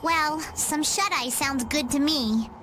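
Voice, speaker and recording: A woman voicing a small, bright, enthusiastic childlike companion character in a video game. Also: high voice, slow pace, a little hiss or hum, exaggerated voice. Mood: cheerful.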